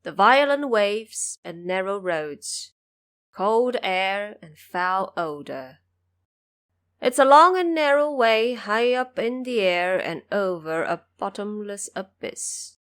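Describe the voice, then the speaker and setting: A woman's voice: mid-range voice, lively intonation. A woman playing a solemn male judge of the underworld in an audio drama, courteous, formal and commanding. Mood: grave warning. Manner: formal, measured, grave.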